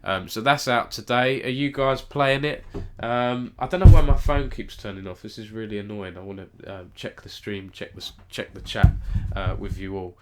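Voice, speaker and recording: A man's voice: lowish voice, fairly even intonation, normal speed, few pauses, a little hiss or hum. Nasal man with a cold. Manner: rambling, self-correcting, chats with viewers. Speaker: esports news host. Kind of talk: casual live-stream news roundup.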